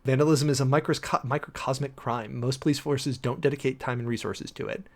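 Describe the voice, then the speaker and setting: A man reading a document dramatically and adding his own argumentative commentary, with pointed emphasis, rhetorical questions and deliberate pauses. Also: medium pitch. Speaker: preacher and commentator.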